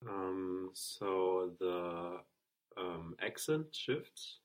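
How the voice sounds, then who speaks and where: Slow, deep-voiced male student. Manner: hesitant, tentative answers. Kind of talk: answering in a language lesson.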